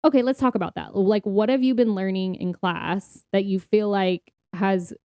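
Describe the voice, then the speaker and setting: A woman's voice: medium pitch, steady tone, moderate pace, warm tone, clean tone, no room sound, clean studio sound. A woman co-hosting a podcast, chatting casually and personally about faith, often saying "like". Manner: conversational, frequent "like". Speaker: podcast co-host.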